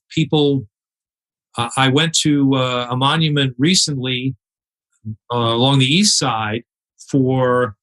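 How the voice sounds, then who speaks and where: A man's voice: mid-range voice, fairly bright tone, poor audio. A man guest on a podcast interview, telling stories earnestly and quoting other people with feeling, often restarting his sentences. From United States. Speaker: podcast guest, charity cyclist. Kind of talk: conversational interview storytelling. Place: home in New York City.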